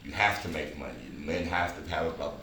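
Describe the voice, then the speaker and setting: A man talking in a casual group discussion, halting and reflective, repeating phrases for emphasis. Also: extremely low pitch, pushed and bright. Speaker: filmmaker leading a table read discussion. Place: indoor room at a table read. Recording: echoey room, distant mic, noisy background.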